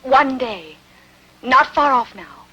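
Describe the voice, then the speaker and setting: A proud queen of an old sword-and-sandal epic, acted by a woman. Her voice is high and forceful, and she speaks with haughty drama and hungry ambition. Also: slow, slightly rough, muffled recording, heavily acted. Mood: passionate, pleading. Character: proud, ambitious, imperious ancient queen in love. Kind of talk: dubbed historical epic film acting.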